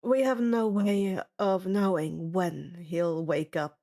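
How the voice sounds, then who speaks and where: A woman voicing a gruff, jaded man who runs a camp in a story, blunt and weary. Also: lowish voice, steady tone, slow, dark tone, pitched below the performer's own voice. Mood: blunt, grim.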